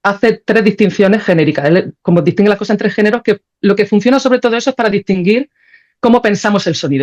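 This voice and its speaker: A woman with a fairly low-fidelity, dulled recording talks as an interview guest. She explains her thinking conversationally, working it out as she goes and often checking that she is being followed. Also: a little mellow, lo-fi sound. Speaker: podcast guest, sound creator.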